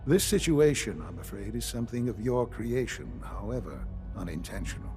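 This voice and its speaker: An elderly leader of a scientific enclave in a video game. His low voice is calm and authoritative, with formal, measured phrasing over music. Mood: grave, insistent. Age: older.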